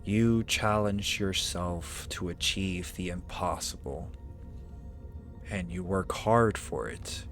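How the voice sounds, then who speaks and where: Very slow, deep ancient dragon played by a man. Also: a bit bright, exaggerated voice. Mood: intrigued, approving. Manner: deliberate, archaic formal phrasing, imperious. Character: ancient, proud male dragon summoned as a familiar. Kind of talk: ASMR roleplay, slow theatrical monologue. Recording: music behind the voice. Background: music.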